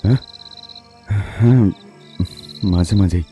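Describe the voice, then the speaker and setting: Dark-voiced, halting, grief-stricken man. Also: lowish voice, faint music behind. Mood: subdued. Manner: halting, stammering, repeats words. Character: grieving, haunted male doctor, shaken and guilt-ridden. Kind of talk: dramatic audio horror story acting.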